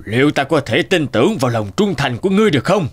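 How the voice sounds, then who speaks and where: A man's voice: fairly high pitch, very animated, fast talker. A man playing a menacing, haughty owl chieftain in a cartoon fairy tale, commanding in manner. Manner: commanding, threatening.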